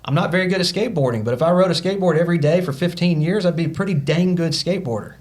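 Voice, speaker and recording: A man's voice: on the high side, rapid-fire, a little mellow. A man in his thirties, a podcast guest, telling his story in an earnest, motivational way with many fillers. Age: 30s. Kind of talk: casual podcast conversation.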